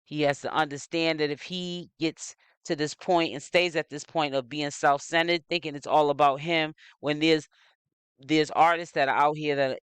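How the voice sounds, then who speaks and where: A man's voice: a little high, steady tone, moderate pace, fairly bright tone, smooth, no echo. A man hosting his own commentary channel, delivering an opinionated gossip monologue in a preachy, admonishing way, repeating phrases for emphasis. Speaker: social media commentator, channel host.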